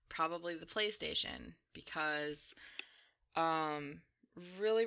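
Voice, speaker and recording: Young female gaming creator: bright, crisp-edged voice, a little slow-paced, informal and rambling, chatting casually. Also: on the low side. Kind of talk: casual chatty commentary while playing a game.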